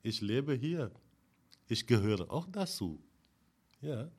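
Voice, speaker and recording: A man from Senegal speaks German in a relaxed conversation. His pitch moves around a lot, and he keeps dropping in short agreeing words as he talks. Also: slow pace, husky. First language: not German. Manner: warm, repeats short affirmations, laughs often. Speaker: guest. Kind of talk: casual conversation.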